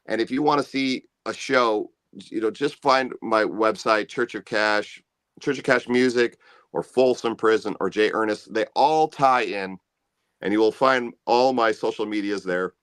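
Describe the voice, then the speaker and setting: A man with a deep bass voice talks in a relaxed, meandering way, plugging his music as a guest. The sound is close and dry, with no room around it. He sits low in pitch. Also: unhurried, clear, resonant tone, dry recording. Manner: easygoing, rambling, self-promoting. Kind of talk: casual interview chat.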